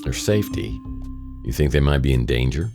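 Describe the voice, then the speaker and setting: Very deep, deadpan noir detective. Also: male voice, a little fast, gravelly, big-room sound, music in the background, exaggerated voice. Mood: dry, businesslike. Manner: deadpan, self-deprecating, dry wit. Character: wry, world-weary male private detective narrating his case. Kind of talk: hardboiled noir radio drama narration and dialogue.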